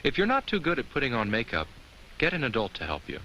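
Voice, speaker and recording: An actor voicing a friendly, caring talking jack-o'-lantern who narrates a children's safety film in simple, instructive words addressed straight to kids. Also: male voice, medium pitch, wildly swooping pitch, quick pace, sharp tone, slight background noise, rough recording. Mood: gently instructive. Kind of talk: scripted educational narration for children.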